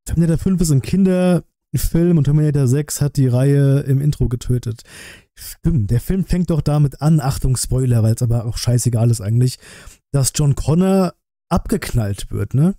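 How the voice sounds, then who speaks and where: Very bright-voiced man, blunt and slangy. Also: medium pitch, normal speed, no echo, clean studio sound. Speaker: reaction streamer. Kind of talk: casual reaction commentary. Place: small indoor streaming room. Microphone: close desk microphone.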